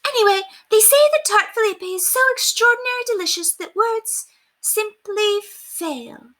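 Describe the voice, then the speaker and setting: Shrill, melodramatic snobbish lady. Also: expressive, unhurried, fairly bright tone, full character voice. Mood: excited babble. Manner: theatrical, gushing, indignant exclamations. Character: haughty, melodramatic, snobbish upper-class lady. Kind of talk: storybook character voice read aloud.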